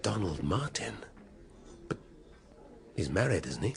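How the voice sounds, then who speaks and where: Very deep-voiced English police inspector. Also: male voice, sing-song, unhurried, vocal fry, a crowd in the background, heavily acted. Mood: probing, dry. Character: middle-aged male police inspector, probing, awkward, a little gruff. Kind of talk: naturalistic British radio drama acting.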